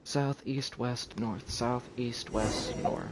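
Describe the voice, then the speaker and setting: A man's voice: mid-range voice, steady tone, slow. Offhand male game streamer, noisy background. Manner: offhand, muttering to self while thinking. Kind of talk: casual live gameplay commentary.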